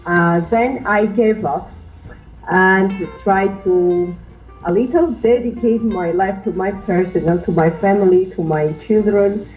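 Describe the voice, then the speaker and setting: A woman in her thirties with an Armenian accent, speaking as a guest teacher on a podcast and often pausing to search for words. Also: medium pitch, a little mellow, dull audio, faint music behind. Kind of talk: podcast interview.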